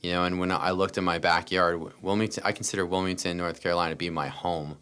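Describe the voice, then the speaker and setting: A man's voice: low voice, little pitch movement, fast, fairly bright tone, clean tone. An American man telling personal stories as a podcast interview guest, earnest and repeating phrases for emphasis. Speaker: interview guest, startup founder.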